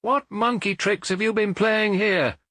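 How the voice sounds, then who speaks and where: A man's voice: high voice, fairly even intonation, measured pace, fairly bright tone, dry recording, voice pushed up. A man voicing a grandiose, furious American charlatan prophet in an audiobook detective story, ranting shrilly. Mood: furious, accusing. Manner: ranting, indignant, bombastic.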